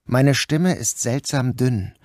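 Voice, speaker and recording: A man playing an anxious, guilt-ridden narrator who tells his own ordeal in a measured, deliberate radio drama style. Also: medium pitch, a little mellow, dry recording, clean studio sound, natural voice. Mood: uneasy dread. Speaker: narrator and lead actor. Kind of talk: literary first-person radio drama narration.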